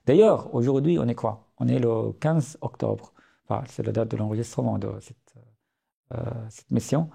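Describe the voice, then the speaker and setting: A man's voice: mid-range voice, moderate pace, creaky, warm tone, breathy edge. A man in his late thirties, originally from Syria, speaking French with an Arabic accent as a podcast guest, earnest, with many hesitations and restarts. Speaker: guest author. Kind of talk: podcast interview.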